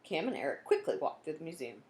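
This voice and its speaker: A woman reading a children's book aloud in an expressive storytelling style. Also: low voice, quick pace, echoey room. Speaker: storybook reader.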